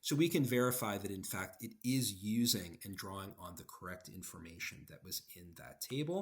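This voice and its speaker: A man giving an explanatory software demo in an emphatic, conversational way. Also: medium pitch, a little hiss or hum. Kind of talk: explanatory product demo, semi-scripted talk.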